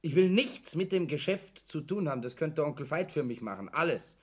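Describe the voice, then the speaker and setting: An actor playing a hesitant young man in a radio play, appeasing but quietly stubborn. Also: on the high side, expressive, normal speed. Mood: defensive, stubborn. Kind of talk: 1960s German radio play acting.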